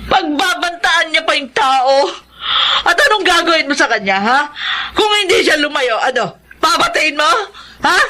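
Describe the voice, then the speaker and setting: An actress playing a hurt, protective older sister in love in a Filipino radio drama, emotional and confrontational. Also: female voice, sits high, lively intonation, normal speed, sharp tone. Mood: angry, threatening.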